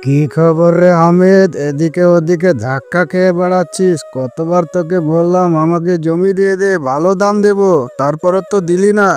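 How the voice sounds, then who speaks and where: A man's voice: high-pitched, steady tone, moderate pace, hardly any pauses, a little mellow, soft background music, natural voice. Man voicing a greedy, condescending village landlord. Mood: taunting. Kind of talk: dramatic cartoon story acting.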